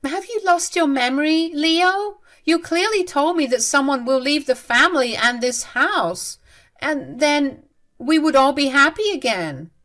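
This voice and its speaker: Woman putting on a shrill scheming mother-in-law. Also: animated, measured pace, fairly bright tone. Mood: irritated, shrill. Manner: shrill, irritated, defensive. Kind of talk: storybook narration.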